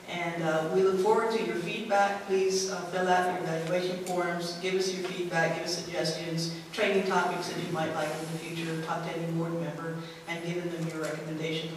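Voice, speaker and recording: A man organizing a conference, giving informal announcements and introducing a speaker, halting with many fillers. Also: high voice, slight background noise. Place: large convention center meeting room. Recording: echoey hall from far off.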